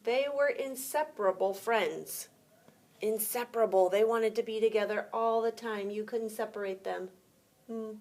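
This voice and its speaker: A woman preschool teacher reading a story aloud to young children, pausing to explain words simply and ask questions. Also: on the high side, darkish tone.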